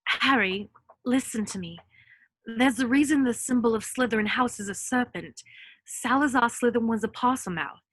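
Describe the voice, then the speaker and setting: Woman playing studious young schoolgirl, serious, earnest, higher pitch, dry close sound, table read. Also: normal speed, dry recording, lightly acted, rough recording. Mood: grave concern. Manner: earnest, explanatory. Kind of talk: online script table read.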